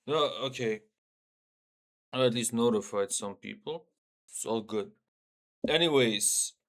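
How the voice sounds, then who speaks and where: Young male streamer from Syria, mid-pitch, blunt and loose, swears, keeps asking "right?". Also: slow, fairly bright tone. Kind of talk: casual livestream talk.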